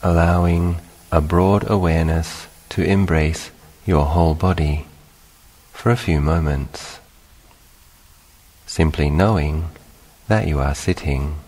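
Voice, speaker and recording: Very deep, slow-speaking male meditation guide. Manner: calm, unhurried, instructional with long pauses. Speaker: meditation teacher, monk. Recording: long hall-like reverberation.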